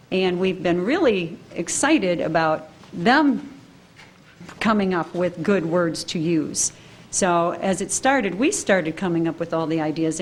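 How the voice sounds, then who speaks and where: Recorded at a public meeting with a faint hum behind her, this woman speaks at an ordinary pitch in a relaxed, explanatory manner. Her delivery is upbeat and informal, like a staff member walking officials through what happens day to day. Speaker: program staff presenter. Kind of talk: public presentation at council meeting. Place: council meeting room.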